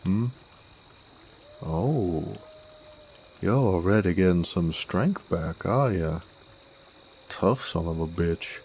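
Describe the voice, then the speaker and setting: Deep, slow-drawling Southern cowboy rogue, acted by a man. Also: expressive, darkish tone, a little hiss or hum, heavily acted. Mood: smug, taunting. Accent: American Southern. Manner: drawling, folksy, teasing. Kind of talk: scripted roleplay audio drama.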